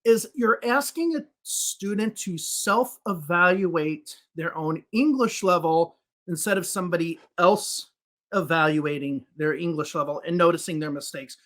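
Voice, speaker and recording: A man's voice: a little high, a little slow, fairly bright tone. A man who teaches English, answering interview questions on a podcast in a thoughtful, explaining way. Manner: explanatory, thinks aloud with restarts.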